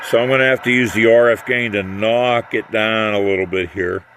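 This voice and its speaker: An older American man giving a casual hands-on equipment demonstration in a halting, unhurried way. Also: on the low side, steady tone, fairly bright tone, a little hiss or hum. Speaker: radio hobbyist demonstrating equipment.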